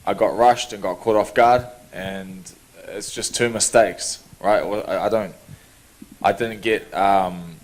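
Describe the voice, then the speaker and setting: A young man, answering reporters' questions in an easygoing, relaxed way at a media day. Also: on the low side, takes it slowly. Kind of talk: press scrum answers.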